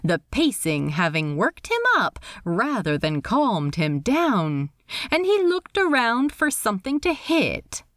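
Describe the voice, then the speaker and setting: A woman reads fiction aloud in an expressive storytelling style. Her pitch moves widely and animatedly while her pace stays slow. The sound is dry, with little room around it. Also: dry recording. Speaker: fan fiction reader. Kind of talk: expressive scripted audiobook narration.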